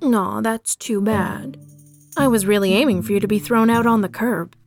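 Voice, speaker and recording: A woman acts out a smug office rival who has become the boss, in an audio drama. Her mid-pitched voice drips with taunts and veiled threats over clearly audible music. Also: moderate pace, lightly acted. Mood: smug, taunting. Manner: mock-sympathetic, taunting.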